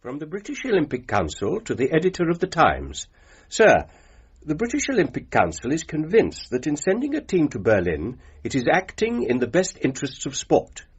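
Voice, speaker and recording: A stately official declaiming a formal letter. His husky voice swings dramatically in pitch. The radio sound is slightly dull. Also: wildly swooping pitch, moderate pace, full character voice. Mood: grave.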